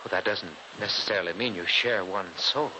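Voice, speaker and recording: A man playing an earnest, concerned gentleman friend in 1940s radio drama style, formal and measured. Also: deep voice, natural voice. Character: earnest, gentlemanly old friend, soothing and rational. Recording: faintly hissy 1940s radio recording.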